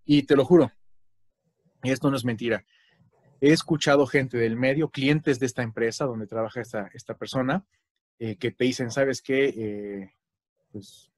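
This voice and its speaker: A man's voice: mid-range voice, fairly even intonation, moderate pace, pauses often, a little mellow, no echo. A man with a Mexican Spanish accent hosting a casual conversation, chatty, with frequent fillers and tag questions. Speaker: host.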